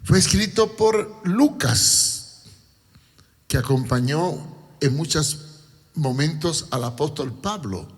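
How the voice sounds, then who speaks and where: A man from Cuba preaching a sermon in a deliberate, emphatic way, with repetition and rhetorical questions. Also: fairly high pitch, expressive, breathy edge. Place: large church hall. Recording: long hall reverb.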